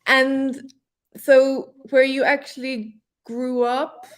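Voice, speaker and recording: Woman host, chatty, slow and careful, keeps restarting sentences. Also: high voice, little pitch movement, smooth. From Ireland. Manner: slow, restarts phrases, switches between German and English. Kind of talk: casual online session chat.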